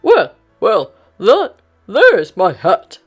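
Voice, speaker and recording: Storybook gentleman kangaroo, played by a woman: hearty, genteel, emphatic, swooping widely, slightly husky. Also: medium pitch, wildly swooping pitch, drawn-out words, soft background music, pitched above the performer's own voice, exaggerated voice. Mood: hearty surprise. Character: hearty, courteous grown male kangaroo gentleman.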